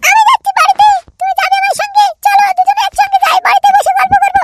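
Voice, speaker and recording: Man as a squeaky, extremely high cartoon girl. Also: flat delivery, very fast, loud music behind the voice. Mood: friendly. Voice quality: squeaky, artificially thin.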